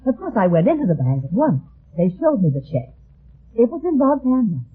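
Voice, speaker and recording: A woman's voice: on the high side, big pitch swings, fairly quick, husky. An actress playing an anxious, earnest young woman pleading for help in an old radio mystery. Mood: worried, earnest. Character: anxious, earnest young woman seeking her missing brother. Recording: tinny, narrow-band old radio recording.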